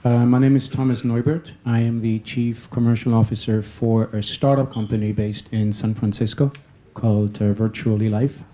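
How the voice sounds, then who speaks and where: A man introducing himself as a panelist at a conference. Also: moderate pace. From Germany. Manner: steady, businesslike pitch. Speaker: panelist, startup executive.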